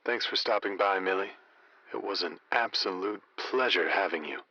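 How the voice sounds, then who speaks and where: Late-night radio host, wry, laid-back, low voice, dry close sound, audio comedy. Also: male voice, normal speed, pushed and bright, dry recording, dull audio, full character voice. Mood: wry, polite.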